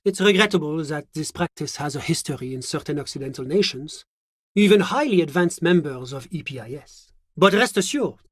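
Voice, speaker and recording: An actor playing a genteel, smug bureaucrat in a video game, a devoted moralist who speaks in polite, officious, measured formal phrases. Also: male voice, a little high, no echo, clean studio sound. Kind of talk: scripted game dialogue.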